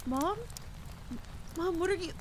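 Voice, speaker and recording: Woman acting out a high, frantic stammering young girl. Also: moderate pace, natural voice. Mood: alarmed. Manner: stammering, pleading, breathless. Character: anxious young farm girl, frantic for her family. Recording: clear background noise of rain and thunder.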